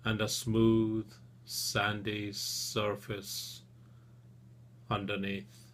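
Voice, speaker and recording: A man leading a guided relaxation session as a therapist, speaking soothingly and gently in short phrases with long pauses. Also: fairly low pitch, monotone.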